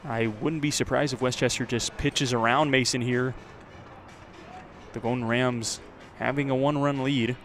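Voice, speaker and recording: A man's voice: medium pitch, fairly even intonation, soft background music. American man calling baseball play-by-play. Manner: measured talk that jumps louder on plays. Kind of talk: live sports radio commentary.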